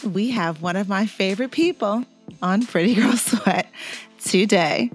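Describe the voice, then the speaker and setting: A woman's voice: medium pitch, unhurried, soft background music. A woman hosting a show, chatting casually as she interviews a guest. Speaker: podcast host interviewing a guest.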